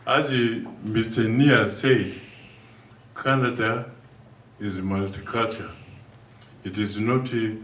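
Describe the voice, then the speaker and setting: An older man with a low voice addresses a community hall, his speech earnest and halting, full of restarts. He sits well back from the microphone, so the room's echo hangs around every phrase. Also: a bit bright, slight background noise. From Uganda. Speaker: guest speaker. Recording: echoey room from far off.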